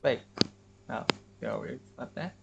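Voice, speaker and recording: A man giving casual livestream commentary on a game, with halting, hesitant phrasing. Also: medium pitch, creaky, heavy background noise. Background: game music.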